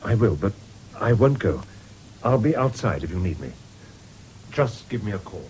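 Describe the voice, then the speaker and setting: An actor in a 1970s radio drama playing a genteel, considerate middle-aged country doctor. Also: male voice, fairly low pitch, sing-song, moderate pace, a little mellow. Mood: concerned. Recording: noisy old radio drama recording.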